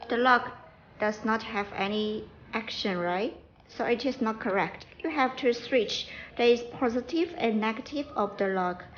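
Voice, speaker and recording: A woman giving an informal step-by-step product tutorial in halting, non-native English, repeating her instructions. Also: fairly high pitch, dull audio, a little hiss or hum. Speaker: product support demonstrator.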